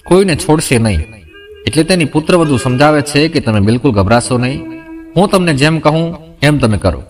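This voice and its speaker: A man narrating a scripted moral folk tale as a storyteller. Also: fairly high pitch.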